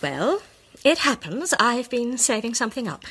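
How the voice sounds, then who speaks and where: Shrewd, husky lady sleuth. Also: fairly high pitch, big pitch swings, a bit bright, full character voice. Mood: assured, methodical. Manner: articulate, deliberate, theatrical reveal. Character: shrewd, self-assured woman amateur detective laying out her case. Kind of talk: British radio drama acting.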